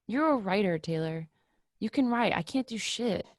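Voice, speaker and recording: A woman's voice: medium pitch, expressive, moderate pace, dry recording, clean studio sound, natural voice. A woman reading the part of a jaded, despairing young woman in a play, blunt and weary, swearing freely. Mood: bitter, defeated. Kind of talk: play reading over video call.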